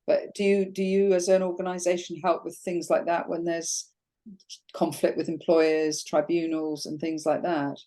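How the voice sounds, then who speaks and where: A woman host with a croaky voice, speaking candidly about her own experience and asking questions in a halting, personal way. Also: on the low side, level tone, warm tone. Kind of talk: conversational interview.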